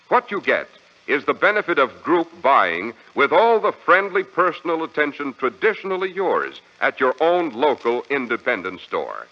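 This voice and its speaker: A dull, lo-fi vintage radio recording of an American male announcer. He speaks in a polished 1940s style, with lively swings of pitch and emphatic salesmanship.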